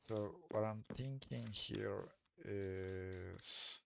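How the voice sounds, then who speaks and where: A man from Colombia with a Colombian Spanish accent, Spanish as his first language, giving relaxed gameplay commentary while hesitating and searching for words. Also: lowish voice, very slow, vocal fry, background noise. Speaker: let's play creator.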